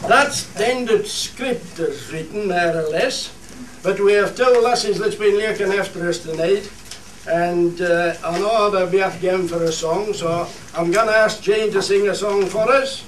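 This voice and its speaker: High-pitched man in an echoey room. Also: unhurried, recorded from a distance. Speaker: master of ceremonies. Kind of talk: informal stage announcing. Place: studio theatre. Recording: echoey room from afar, faint noise.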